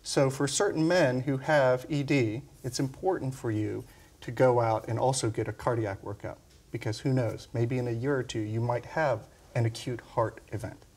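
He is a man in his forties with a husky, rough-edged voice at an ordinary pitch. He explains things as a doctor would on a televised round-table panel. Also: steady tone, normal speed, darkish tone. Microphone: lapel mic.

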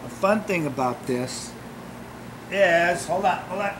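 A man's voice: high-pitched, expressive, takes it slowly, noisy background. A man in his fifties, a fishmonger giving an upbeat, joking cooking demo in a small shop kitchen, recorded on a camera mic. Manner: upbeat, joking, salesman-like chatter. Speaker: fishmonger demonstrating seafood.

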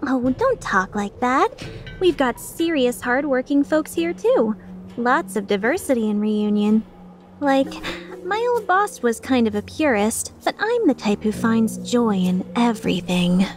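Girl voicing playful evil merc chick for a game, high swoopy voice, taunting and sarcastic. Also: sing-song, unhurried, faint music behind. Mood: mocking, coaxing. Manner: teasing, mock-sincere, sardonic. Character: playful, taunting, mischievous young female mercenary villain. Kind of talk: fan dub of game dialogue.

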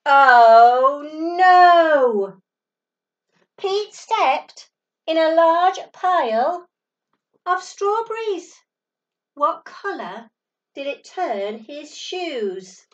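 A woman in her thirties, a teacher, reading a picture book aloud to a child at home, with animated storytelling and dramatic gasps and exclamations. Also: sits high, drawn-out words, long pauses. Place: small room at home. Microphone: laptop webcam at table distance. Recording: dull lo-fi webcam audio from a distance.

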